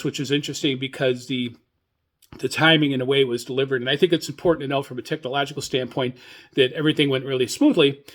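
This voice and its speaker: An older man hosting a hobbyist podcast, giving informal solo news commentary in a hesitant, halting, rambling way. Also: medium pitch. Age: older, 60s or above. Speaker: radio hobbyist podcast host.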